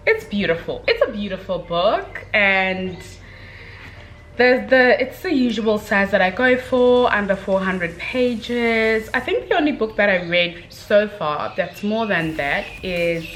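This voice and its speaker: A young woman giving a casual, chatty book review, speaking in an expressive, gushing, slangy way with dramatic emphasis. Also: medium pitch, slow, a little hiss or hum.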